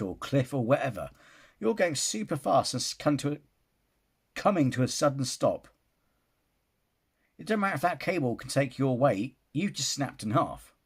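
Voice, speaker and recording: A man's voice: mid-range voice, slightly rough. A man giving his casual opinion in a film reaction vlog, rambling and restarting his sentences. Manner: rambling, restarts sentences, often says "you know".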